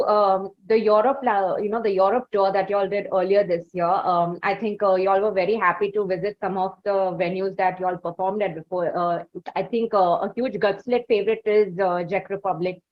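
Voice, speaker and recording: A woman's voice: medium pitch, normal speed, few pauses. A woman with an Indian accent hosting a conversational interview, phrasing her questions in a hesitant, wordy way. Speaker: interviewer and podcast host. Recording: dull, lo-fi audio.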